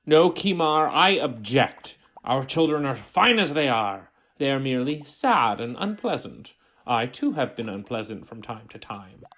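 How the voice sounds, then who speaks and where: Scornful Martian villain male actor: pompous, grumbling, highly animated pitch, sci-fi comedy radio play. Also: measured pace. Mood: indignant. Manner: pompous, sneering.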